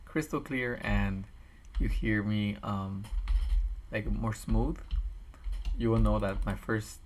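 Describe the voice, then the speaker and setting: A man with a raspy, tired voice chatting casually and rambling as he builds a game map on a stream, talking into a desk microphone. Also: fairly low pitch, fairly even intonation, slow, a little hiss or hum. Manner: rambling, thinks aloud with restarts. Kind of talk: casual commentary while building.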